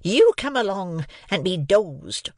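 A male narrator plays a bullying housewife from a classic novel. Her voice is pitched high, and she snaps and scolds with sharp, impatient temper. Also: sing-song, slow, no echo, studio quality, heavily acted. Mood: scolding.

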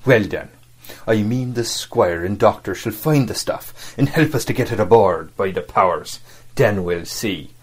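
A man's voice: fairly low pitch, slightly rough. A man voicing a sly, scornful, commanding sea cook for an audiobook, in speech with emphatic rustic phrasing. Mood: scheming. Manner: rustic sailor talk, emphatic, drawn-out delivery. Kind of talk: audiobook character acting.

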